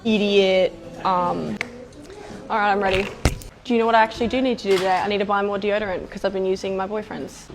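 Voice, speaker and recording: A woman's voice: mid-range voice, steady tone, fast, music in the background, rough recording. A young woman chatting casually in a salon chair, rattling off self-mocking jokes. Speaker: salon client. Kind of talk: casual chat.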